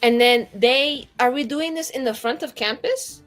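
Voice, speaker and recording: A woman's voice: high voice, normal speed, a bit bright. A woman voicing a naive, doubtful spellcaster questioning a scheme in improvised tabletop roleplay. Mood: puzzled. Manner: questioning, reluctant. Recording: music under the voice.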